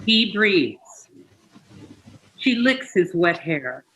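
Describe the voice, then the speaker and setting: A person reading short poems aloud in an unhurried, deliberate way. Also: medium pitch, very animated, sharp tone, rough recording. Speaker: participant reading poetry aloud.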